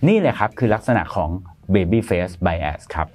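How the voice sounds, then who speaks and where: A man's voice: low voice, big pitch swings, a little slow. A man in his thirties presenting an explainer to camera in a studio, talking in a polite, chatty way with a lapel mic. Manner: polite, chatty, frequent soft particles. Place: bright studio in front of a plain grey backdrop.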